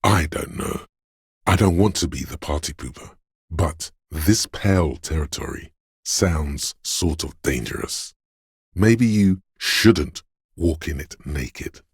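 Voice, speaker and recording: Very deep, gravelly, menacing inner voice. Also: male voice, expressive, no room sound, studio quality, exaggerated voice. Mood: wary, paranoid. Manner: ominous, slow, deliberate delivery. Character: menacing, paranoid inner voice of primal fear and aggression. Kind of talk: dramatic second-person game narration.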